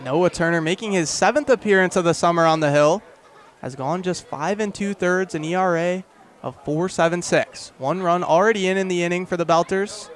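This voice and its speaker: A young man calls baseball live on the radio in a high-pitched voice. He speaks in short, clipped phrases and builds to excited calls as the play develops. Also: normal speed. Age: young adult. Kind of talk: live radio sports commentary.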